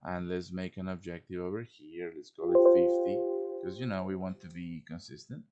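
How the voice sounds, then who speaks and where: A man's voice: sits low, steady tone, moderate pace, muffled recording, over loud music. A man with a chatty manner hosting a casual live tutorial, often checking in with his listeners as he teaches. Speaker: host teaching game quest design. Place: live stream over online voice chat.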